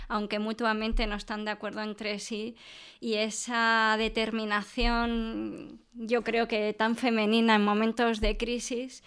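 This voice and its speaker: Casting: female academic guest, accent of Spain, very smooth resonant voice, even intonation, articulate and measured. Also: medium pitch. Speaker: interviewed classics professor. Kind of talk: thoughtful interview talk.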